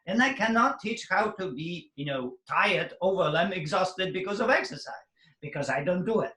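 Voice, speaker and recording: A man teaching a fitness method, talking casually to an audience in halting, non-native phrasing and laughing often. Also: sits high, animated, pushed and bright, echoey room. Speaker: fitness teacher hosting a workshop.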